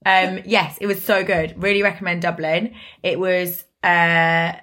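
A woman co-hosting a casual podcast chat, her voice husky, talking in a chatty way with lots of fillers and laughs. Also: lowish voice, flat delivery, unhurried, a bit bright. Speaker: podcast co-host.

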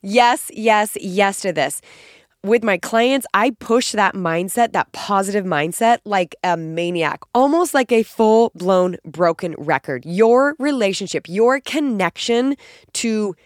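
A woman podcast host and fitness coach with a naturally raspy voice, made more nasal and raspy by being sick, talking in an upbeat, emphatic, encouraging solo monologue. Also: medium pitch, animated, slow, no room sound, studio quality.

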